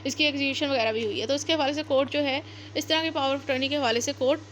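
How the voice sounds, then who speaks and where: Pakistani lady teaching law, really high voice, explains stuff step by step, bit of noise behind her. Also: steady tone, fast, fairly bright tone. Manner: repetitive step-by-step explaining, code-switching into English terms. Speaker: law lecturer. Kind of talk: explanatory lecture, reading out and explaining.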